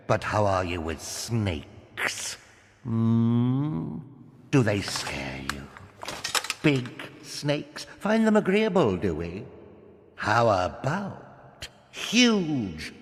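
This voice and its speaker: A man's voice: mid-range voice, a bit bright, heavily acted. An actor playing a gloating, pompous evil sorcerer in a video game, sardonic and theatrical in his delivery. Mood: sinister, taunting. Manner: hammy, sardonic, drawn-out menacing delivery. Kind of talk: scripted video game villain acting. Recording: long reverb, music behind.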